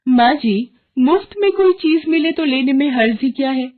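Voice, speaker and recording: Woman acting a high-voiced greedy young wife. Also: expressive, moderate pace. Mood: eager. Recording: narrow phone-like sound.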